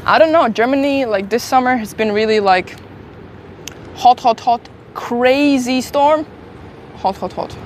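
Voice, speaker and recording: A woman's voice: fairly high pitch, unhurried. A woman with a slight Swedish accent chatting casually to her stream viewers outdoors, talking through a phone at arm's length. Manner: chatty, self-deprecating, talks to chat while eating. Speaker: travel streamer. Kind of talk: casual live-stream chat. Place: outdoors on a city street. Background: city traffic and street noise.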